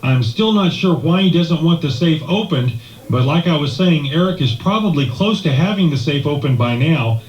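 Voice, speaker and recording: A man's voice: fairly high pitch, expressive, moderate pace, dull audio. A man working as a radio DJ, narrating a scripted live report to listeners. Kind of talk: scripted radio broadcast narration with acted skit. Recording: echoey room, music behind.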